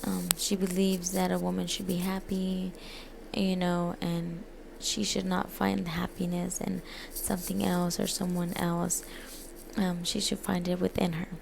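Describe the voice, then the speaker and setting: A woman who grew up in the United States gives an informal, halting book presentation as a student, often pausing and correcting herself. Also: fairly low pitch, completely flat delivery, creaky, darkish tone, a little hiss or hum. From United States, born in Mexico. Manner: hesitant, halting, frequent pauses and self-corrections. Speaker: student presenting a literature essay.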